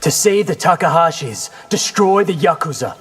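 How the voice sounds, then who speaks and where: An actor voicing a confident young warrior in a fighting video game, cocky, wry and determined. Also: male voice, on the high side, expressive, normal speed, a bit bright, husky, in a large hall, full character voice. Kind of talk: video game character acting.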